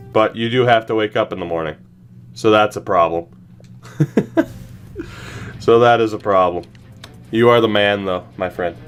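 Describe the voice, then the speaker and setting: Man, game streamer, bright forceful voice, slightly high pitch, animated, repeats phrases, music behind. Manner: animated, swearing, talks to chat, repeats phrases for emphasis. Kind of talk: livestream gameplay commentary, chatting to viewers.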